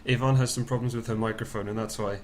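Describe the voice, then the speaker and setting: A man taking part in a conference call, putting questions in a tentative, halting way with repeated words. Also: on the low side, steady tone. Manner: hesitant, repetitive, tentative. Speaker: programme participant relaying questions. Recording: conference call with faint noise.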